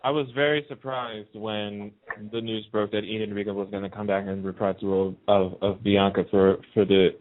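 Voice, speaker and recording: A man's voice: on the low side, little pitch movement, normal speed, hardly any pauses. A man chatting casually as a co-host on a radio talk show. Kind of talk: casual chat. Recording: narrow phone-like internet radio line.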